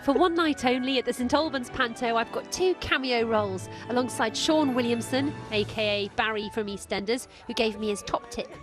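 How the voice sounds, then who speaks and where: British woman narrating over music, fairly high voice. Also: normal speed, hardly any pauses. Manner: wry, self-mocking. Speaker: narrator and presenter. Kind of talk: light-hearted scripted voice-over narration.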